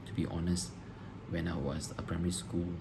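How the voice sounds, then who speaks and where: A man, a teacher answering interview questions on video, pausing often with ums and restarting phrases. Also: sits low, flat delivery, gravelly. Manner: hesitant, frequent ums and restarts. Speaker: teacher applying for a job. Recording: noisy background hiss.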